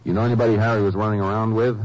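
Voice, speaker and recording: A steady, unhurried lawman, played by an actor, questions suspects in a very low, mellow voice. The old radio broadcast is muffled with faint hiss. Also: natural voice. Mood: calm questioning. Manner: calm, dry, methodical questioning. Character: steady, calm male Texas Ranger investigator. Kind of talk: 1950s radio drama acting.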